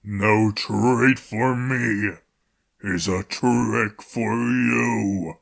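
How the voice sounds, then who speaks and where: A man voicing a creepy, greedy, ravenous Halloween pumpkin monster for a video game, with a growly gravelly voice. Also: fairly low pitch, steady tone, very slow, exaggerated voice. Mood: hungry, menacing. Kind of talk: video game character voice lines.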